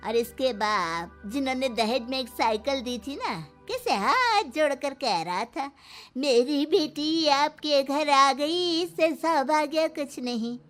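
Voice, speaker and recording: High-pitched, fast-scolding old grandmother played by a woman. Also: expressive, a bit bright, full character voice. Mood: bossy complaining. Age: older. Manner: nagging, bossy, complaining. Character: scolding, domineering old village grandmother-in-law. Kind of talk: dramatised Hindi moral story. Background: music.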